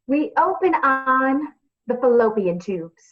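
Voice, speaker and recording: A woman's voice: sits high, expressive, slow, muffled recording. A woman reading scripted comedy narration in a wry, tongue-in-cheek manner. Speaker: comedy troupe performer, narrator.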